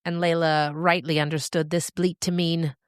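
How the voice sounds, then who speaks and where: A woman hosting and narrating a story podcast in an expressive storybook style. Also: low voice, normal speed, smooth, no echo, clean studio sound.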